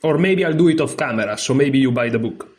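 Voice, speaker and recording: A man with a middle-pitched voice teaches in an informal, candid way. He is a non-native English speaker. He moves between reading aloud and casual commentary, thinking out loud as he goes. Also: animated, fast. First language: not English. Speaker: chess teacher and streamer. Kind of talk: casual read-aloud lesson with asides.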